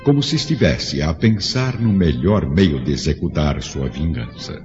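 Male Brazilian narrator, very low, solemn radio-drama storytelling, heavy reverberant tail, muffled sound. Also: lively intonation. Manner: solemn, measured. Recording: long reverb, dull lo-fi, faint music.

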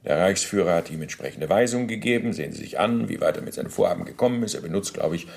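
Radio drama role: middle-aged Nazi functionary giving testimony, low creaky voice, officious, halting, self-justifying. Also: male voice, expressive, a little mellow. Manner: formal, bureaucratic, halting with deliberate pauses. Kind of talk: German radio play, testimony monologue.

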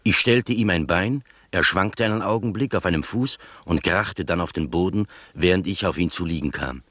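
A man playing a composed gentleman narrator who recounts his own adventure in scripted, literary radio-drama narration. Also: sits low, moderate pace, dry recording. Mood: measured, recounting. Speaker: first-person narrator of a radio play.

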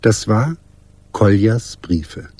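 Male announcer, lowish pitch, unhurried, very dry close sound, scripted radio credits. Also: animated, dark tone, husky, dry recording.

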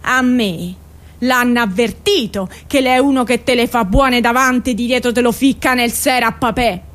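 A woman's voice: fairly high pitch, normal speed, fairly bright tone, lightly acted. An actress playing a brash, aggrieved working-class mother in a theatrical radio drama, complaining and pleading in colloquial, insistent repetitions. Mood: pleading, accusing. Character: brash, aggrieved working-class Italian mother defending her arrested son.

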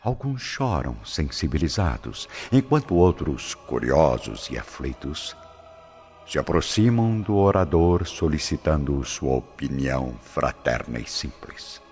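A very deep male voice narrates the radio drama slowly and solemnly, his pitch rising and falling widely. The audio is dull and lo-fi, with soft music underneath. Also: big pitch swings. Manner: slow, solemn storytelling.

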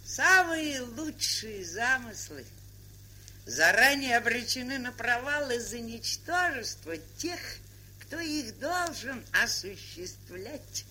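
A woman's voice: a little high, expressive, takes it slowly, bright, forceful tone, heavily acted, poor audio. An actress playing a shrewd, sardonic older woman camp trader, lecturing with worldly irony in a theatrical stage style. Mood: ironic. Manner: worldly-wise, ironic, lecturing. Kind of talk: theatrical stage drama acting. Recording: hissy 1970s studio drama recording.

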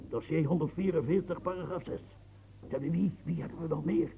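An actor playing an aging fortress-building marshal in a radio play, declaiming grandly and stammering when moved. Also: male voice, fairly high pitch, brisk, rough recording. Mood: brooding, declaiming. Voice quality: gravelly, dark and husky. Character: aging royal fortress engineer, proud, brooding. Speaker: military engineer marshal. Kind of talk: radio drama acting.

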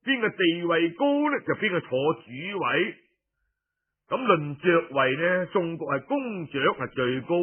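A high-pitched male storyteller narrates historical tales at a slow pace. He is colloquial and theatrical, stretching the ends of phrases and pausing between them. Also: slightly rough, phone-quality sound. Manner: colloquial, theatrical, drawn-out phrase endings with pauses. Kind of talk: traditional Cantonese oral storytelling of historical tales.

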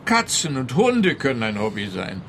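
An opinionated, exasperated policeman in a radio comedy. He lectures pompously in a bright, cutting male voice of middling pitch, with some ambience behind him. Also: wildly swooping pitch, moderate pace, slight background noise. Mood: pompous, lecturing. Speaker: actor in a radio play.

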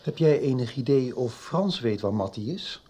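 A man's voice: mid-range voice, expressive, normal speed, warm tone, slightly rough. An actor playing a brooding, disillusioned middle-aged man in a Dutch radio soap, hesitant and pensive. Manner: hesitant, pensive, repeating words.

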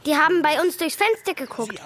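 A scornful, huffy young girl in a children's radio play. Her voice is high, with crowd noise behind it. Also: expressive, fairly quick.

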